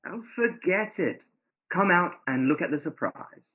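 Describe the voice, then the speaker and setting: An actor playing a tender, ardent, pleading lover in a radio play, earnest and romantic in delivery. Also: male voice, a little high, expressive, a little slow. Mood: coaxing. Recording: thin phone-like sound.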